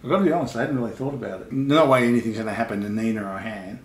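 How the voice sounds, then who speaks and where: In an echoey room, a middle-aged man chats casually about books. His voice sits at an average pitch, and he lays out his opinions in an explanatory way. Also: racing. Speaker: father reviewing books with daughter. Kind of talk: casual book discussion.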